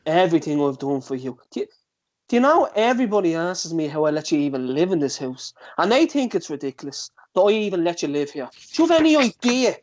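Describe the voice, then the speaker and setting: An actor playing a belittling, manipulative ex-partner with a condescending, needling manner in a drama table read. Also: male voice, a little high, big pitch swings, fast, darkish tone. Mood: scornful.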